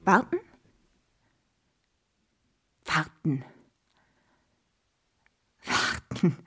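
A woman's voice: deep voice, animated, very slow, a crowd in the background, exaggerated voice. Anguished, guilt-ridden woman on stage. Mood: pleading. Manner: exclamatory, repetitive, swinging between whisper and outburst. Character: anguished, guilt-ridden woman activist, agitated and self-reproaching. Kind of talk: theatrical stage monologue.

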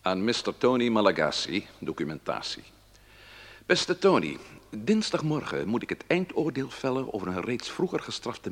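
Judge, lively intonation, husky voice, deliberate dictation, radio play, long reverb. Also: male voice, medium pitch, heavily acted. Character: male judge dictating an urgent memo to a colleague. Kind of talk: radio drama, dictating a letter.

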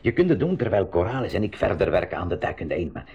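Husky, animated older professor. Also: male voice, low voice, fast, lo-fi sound, exaggerated voice. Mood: reassuring, measured. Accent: Flemish. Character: older professor uncle, calm leader of a stranded expedition. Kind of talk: 1980s school radio drama acting.

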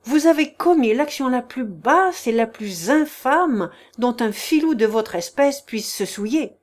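A woman's voice: fairly high pitch, expressive, a little slow. A woman reading the part of a stern, contemptuous prince in a classic novel, delivering cold, commanding accusations. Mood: scornful. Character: stern, contemptuous male prince, a high official. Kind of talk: audiobook character reading.

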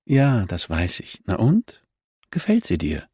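A man voicing a sharp-minded, teasing woman in an audiobook, with a probing, pointed manner. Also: fairly low pitch, wildly swooping pitch, slow, breathy edge, dry recording, studio quality, heavily acted.

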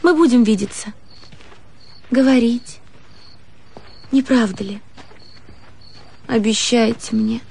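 A woman acting an earnest young romantic in a radio play, gushing and pleading in a husky, emotional voice. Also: fairly high pitch, steady tone, slow pace, long pauses, darkish tone, heavily acted. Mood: pleading, anxious.

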